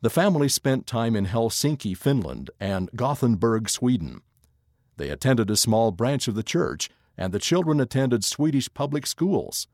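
A man giving a scripted narration of an article in a measured, expressive reading voice. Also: medium pitch, no room sound, studio quality.

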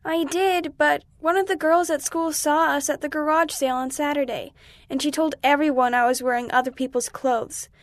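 Woman voicing an earnest, high-pitched young schoolgirl. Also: steady tone, normal speed, dry recording, studio quality, lightly acted. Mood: upset. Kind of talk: children's story dialogue reading.

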